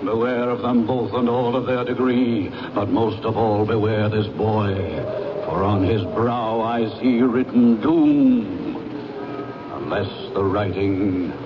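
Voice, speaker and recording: An actor playing a solemn, stern spirit in a 1970s radio drama, declaiming grave warnings in a portentous manner. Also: male voice, lowish voice, steady tone, slow pace. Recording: dull, noisy 1970s radio recording.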